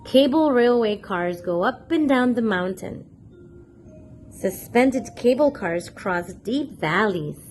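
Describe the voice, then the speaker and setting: A woman's voice: on the high side, animated, slow, a little mellow, slight background noise. A woman hosting a children's read-aloud, reading a picture book expressively and putting questions to young listeners. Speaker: children's read-aloud storyteller and channel host.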